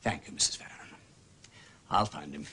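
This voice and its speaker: An actor playing an urbane aristocratic gentleman sleuth with an upper-class English accent, drawling and probing with theatrical understatement in a radio drama. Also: normal speed, whispered, natural voice. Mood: wry, knowing.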